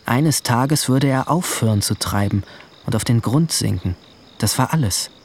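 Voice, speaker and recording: A young man playing a brooding, guilt-haunted seventeen-year-old boy, narrating his story in measured, introspective short sentences in a radio drama. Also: mid-range voice, expressive, a little mellow, slight background noise, natural voice. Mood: wistful, numb. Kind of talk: literary first-person radio drama narration. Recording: music bed behind the voice.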